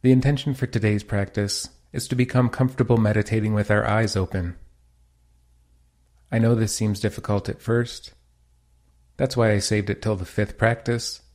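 Male meditation guide, lowish voice, measured and soothing instructional read. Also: steady tone, a little mellow. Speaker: meditation teacher.

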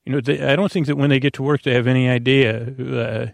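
A man with a creaky voice, improvising a meandering, halting bedtime podcast monologue full of asides and self-interruptions. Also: medium pitch, steady tone, dry recording. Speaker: podcast host.

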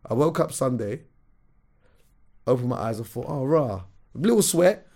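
A young man, hoarse from a recent illness, telling a story in animated, slangy casual chat as a podcast host. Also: mid-range voice, normal speed. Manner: animated storyteller, slangy, calls everyone bro.

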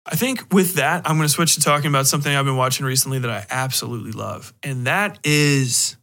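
A man's voice: mid-range voice, fast talker, bright, forceful tone. A man hosting a podcast, talking casually and emphatically with blunt opinions and frequent swearing. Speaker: podcast host.

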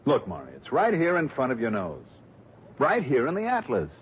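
An actor playing a sharp, confident male insurance investigator in a 1960s radio drama, reasoning briskly and a little smugly. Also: medium pitch, huge pitch swings, loud music behind the voice. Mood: explaining eagerly. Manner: brisk, smug, reasoning aloud. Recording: dull, noisy vintage radio recording.

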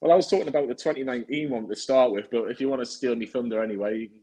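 A man's voice: medium pitch, very fast, dark tone, breathy edge, poor audio. A young man hosting a football podcast, chatty and teasing with rambling asides. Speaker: podcast host.

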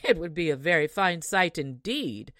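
A woman's voice: fairly low pitch, expressive, normal speed, fairly bright tone, no room sound, studio quality. A woman voicing a proud, rude young woman from a fairy tale who answers with sarcasm. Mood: sneering. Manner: sneering, sarcastic.

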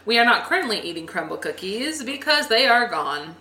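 A young woman co-hosting a casual podcast chat, bubbly and giggly with quick asides. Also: on the high side, sing-song, bright, forceful tone. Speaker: podcast co-host. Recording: roomy echo with faint ambience.

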